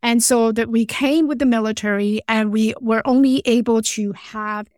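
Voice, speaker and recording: A woman speaks as a podcast guest, her voice sitting a little high. She tells her story earnestly, stopping and restarting phrases. The recording is dry and close, with no room sound. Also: steady tone, darkish tone, dry recording. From Taiwan. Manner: earnest, halting, with frequent restarts and small grammar slips. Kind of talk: personal storytelling in conversation.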